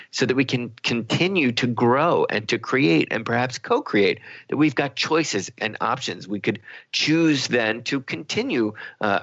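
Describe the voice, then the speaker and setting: A man's voice: mid-range voice, gravelly, a bit bright, no room sound, muffled recording. A man co-hosting a talk radio show, speaking in a reflective, conversational way and often rephrasing his points as he explains.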